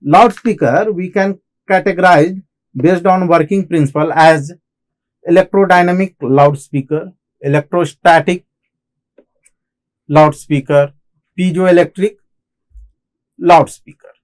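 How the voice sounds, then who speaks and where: A man with an Indian accent giving a technical lecture as an instructor, explaining in halting phrases and often repeating himself. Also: sits high, poor audio. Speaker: engineering lecturer. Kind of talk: classroom lecture on technical material.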